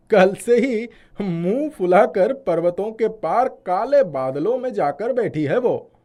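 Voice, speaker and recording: A performer acts out a proud, stubborn male cloud from a fairy-tale cartoon. The voice swoops widely in pitch and sounds pompous and sure that it is always right. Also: animated, slow, a little mellow. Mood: smug, defiant. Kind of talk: animated storybook character acting.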